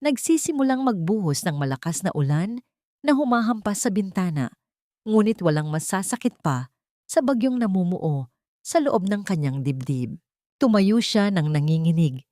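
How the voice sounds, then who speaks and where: A woman narrating a scripted romantic drama in a solemn, emotive radio-drama style with deliberate phrasing. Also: medium pitch, sing-song, mellow, no room sound, studio quality. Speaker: story narrator.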